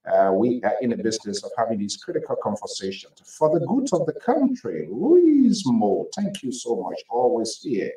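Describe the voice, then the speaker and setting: A man's voice: mid-range voice, wildly swooping pitch, moderate pace, few pauses, warm tone, roomy sound, recorded from a distance, poor audio. A man hosting a live talk show, greeting listeners and callers by name with fillers and restarts. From Liberia. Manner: reads names with fillers and restarts. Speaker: talk show host sitting in.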